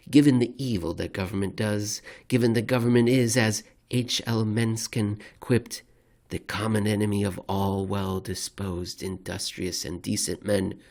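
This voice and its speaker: A man narrating a scripted commentary with deliberate, somewhat theatrical phrasing. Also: on the low side, creaky. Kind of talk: scripted reading of economic commentary.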